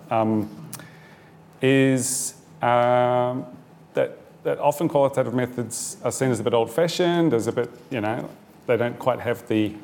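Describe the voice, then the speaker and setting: A man's voice: medium pitch, gravelly, a little mellow, a little hiss or hum. A man with a New Zealand accent answering on a panel, hesitant with many fillers and restarts.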